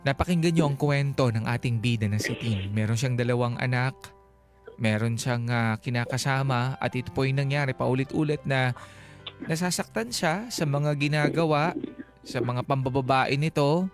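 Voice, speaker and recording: A man's voice: mid-range voice, moderate pace. A Filipino man co-hosting a radio advice show, talking conversationally and mixing some English into his Tagalog. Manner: code-switches between Tagalog and English. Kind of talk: conversational radio advice, reading listener comments. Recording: music playing behind the voice. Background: background music.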